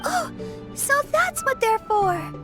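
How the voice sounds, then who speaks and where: A woman's voice: very high-pitched, sing-song, slow, over loud music, exaggerated voice. A woman voicing a cheerful small girl companion in a video game, with an earnest, childlike manner. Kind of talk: video game character acting.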